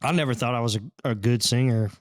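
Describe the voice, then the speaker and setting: A man's voice: mid-range voice, fast talker, no room sound. Easygoing man, dry close sound. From Texas. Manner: easygoing, modest, plain-spoken. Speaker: band lead singer, podcast guest. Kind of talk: casual chat.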